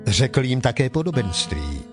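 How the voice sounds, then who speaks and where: A man giving scripted narration for a dramatized audio Bible, in a measured, deliberate storytelling manner. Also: mid-range voice, big pitch swings, music in the background.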